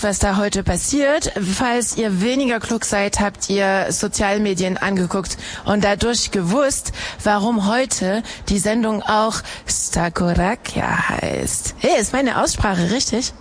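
A woman's voice: mid-range voice, measured pace. A woman hosting a live radio show outdoors, chatting and teasing her listeners with many fillers. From Harz, Germany. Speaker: radio host. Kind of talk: casual live radio chat. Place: outdoors at a live street broadcast.

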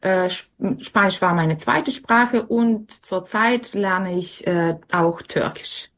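Slow-speaking woman. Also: medium pitch, fairly bright tone. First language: Serbian. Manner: hesitant, searching for words. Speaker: interview guest. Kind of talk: conversational interview answers.

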